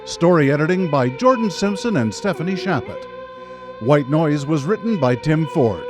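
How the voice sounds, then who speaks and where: A man's voice: fairly high pitch, lively intonation, normal speed. A man announcing and narrating a radio drama series in a theatrical, scripted style. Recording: live radio broadcast with music behind. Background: music.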